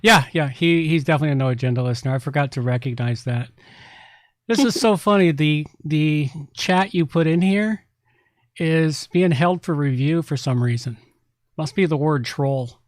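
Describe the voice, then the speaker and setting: A man's voice: a little high, moderate pace, dry recording, studio quality. A man co-hosting a podcast in casual chat, laid-back and muttering to himself as he sets things up. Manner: laid-back, mumbles to himself while setting up. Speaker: podcast co-host.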